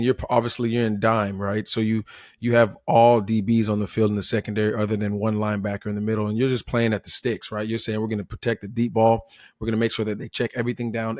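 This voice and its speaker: Low-voiced man breaking down football plays. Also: flat delivery, fast talker, darkish tone, no room sound, studio quality. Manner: explanatory, seeks agreement with frequent "right?". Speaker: former player turned analyst.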